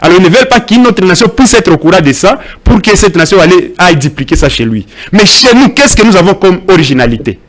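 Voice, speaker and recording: High-voiced man preaching with rhetorical questions. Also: animated, fast, hardly any pauses, a bit bright. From Congo (DRC). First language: Swahili. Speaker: debate guest. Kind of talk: impassioned debate speech.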